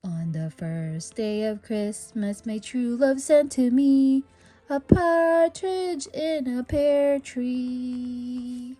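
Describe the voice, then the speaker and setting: A woman reading a children's Christmas verse aloud in a sing-song, drawn-out, rhythmic way. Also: high voice, steady tone, warm tone. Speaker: children's storybook reader. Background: background music.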